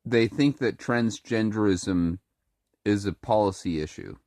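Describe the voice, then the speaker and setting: Very deep-voiced, halting speaker. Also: male voice, a little mellow, dry recording, studio quality. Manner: halting, many restarts and fillers. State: a little sick, throat-clearing. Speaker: vlogger. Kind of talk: casual monologue to camera.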